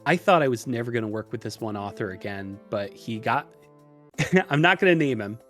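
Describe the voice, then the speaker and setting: A man talking casually as a podcast guest, telling stories in an animated, self-deprecating way with exasperated asides. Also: medium pitch, a little fast, soft background music. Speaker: podcast guest, illustrator and programmer.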